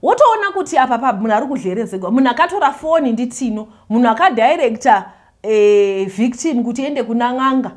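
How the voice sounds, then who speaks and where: Quick-talking Zimbabwean woman, mellow voice. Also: on the high side. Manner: animated, mixing Shona and English. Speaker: host reading confessions. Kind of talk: reading a letter aloud, then commenting.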